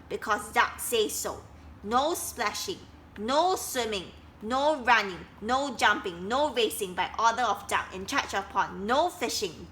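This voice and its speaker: A woman's voice: fairly high pitch, a little hiss or hum. A woman doing a bossy storybook duck. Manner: loud and commanding, snapping orders. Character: bossy, self-important male duck in charge of a pond.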